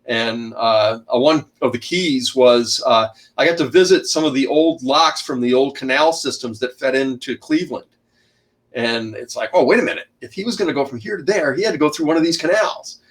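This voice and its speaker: A middle-aged man, an author and shipwreck researcher, talking loudly as a guest in an online interview and packing in detail with many restarts. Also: moderate pace. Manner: loud, booming voice, packs in lots of detail; rambling, frequent restarts and uh fillers.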